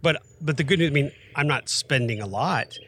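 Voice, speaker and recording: Chatty man with a loud voice. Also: fairly high pitch, animated, a little fast, slight background noise. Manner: chatty, rambling with restarts, self-described loud voice. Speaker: podcast co-host. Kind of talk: casual chat. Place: outdoor flying event.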